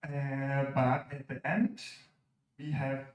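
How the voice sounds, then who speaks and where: A man's voice: mid-range voice, level tone. Italian man joking on stage in an echoey hall. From Italy. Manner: joking, halting with many fillers. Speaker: association president presenting a mascot. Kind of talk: stage announcement at an assembly. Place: large conference hall. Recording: echoey hall, distant mic, dull sound.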